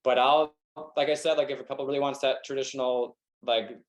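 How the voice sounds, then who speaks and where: A man's voice: medium pitch, a little fast, highs cut off. A young man with a Southern American accent, a wedding photographer chatting casually in a webinar, rambling and filling his talk with "like". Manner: relaxed, rambling, heavy use of "like". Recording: echoey room.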